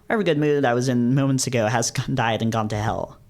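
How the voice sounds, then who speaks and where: An anxious young male fox from a visual novel, acted out by a man, pours out self-loathing in an agitated, melodramatic way. The voice is mid-pitched and overwrought, always putting himself down. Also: fast talker, a little mellow, lightly acted. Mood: panicked, self-loathing. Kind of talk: dramatic reading of a visual novel.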